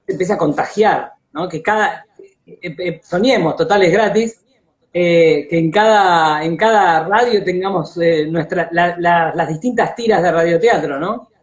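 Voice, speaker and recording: An Argentine man hosting a casual, enthusiastic conversation, speaking haltingly and repeating words as he thinks aloud over a video call. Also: high voice. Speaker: interview host. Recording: dull lo-fi live-stream audio.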